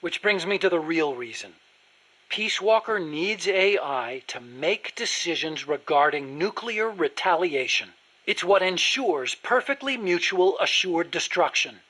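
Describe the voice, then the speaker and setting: American game engineer, high-pitched, bright, nerdy, earnest, precise, explanatory. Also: male voice, lively intonation, measured pace, full character voice, poor audio. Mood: explaining. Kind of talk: video game dialogue acting.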